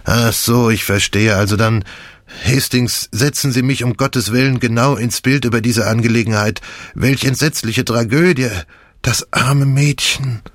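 A man's voice: lowish voice, flat delivery, normal speed, hardly any pauses, no echo, pitched above the performer's own voice, close to an everyday voice. A man reading an audiobook, voicing a frantic, anguished young man who blurts out urgent questions. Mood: agitated. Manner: bursting out in urgent, loud questions. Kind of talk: audiobook dialogue.

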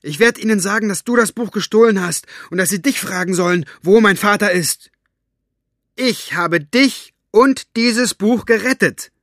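A man voicing a frantic, stubborn young girl who bursts out loudly and pleads. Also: a little high, moderate pace, hardly any pauses, slightly rough, no room sound, studio quality. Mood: upset, accusing. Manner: loud, pleading, breathless outbursts.

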